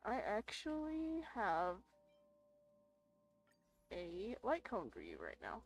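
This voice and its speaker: A woman's voice: medium pitch, wildly swooping pitch, takes it slowly, faint music behind. A woman giving casual gameplay commentary, informally thinking aloud as she plays. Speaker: gamer playing a role-playing game for viewers.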